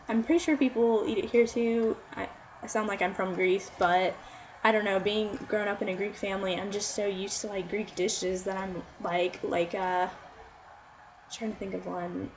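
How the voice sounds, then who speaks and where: A woman's voice: mid-range voice, a little mellow, slight background noise, rough recording. A young woman giving an informal class talk, upbeat and chatty, full of "like" fillers. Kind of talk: informal oral presentation.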